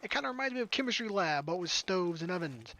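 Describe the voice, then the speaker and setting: A man's voice: high voice, sing-song, quick pace. Man reading a visual novel, joking asides. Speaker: let's play streamer. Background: game music.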